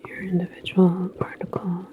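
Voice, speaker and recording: A woman playing a calm, reassuring transit station attendant in a sci-fi ASMR roleplay, speaking in a soft, breathy whisper with a halting, chatty manner. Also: fairly low pitch, narrow, tinny audio, slight background noise, close to an everyday voice.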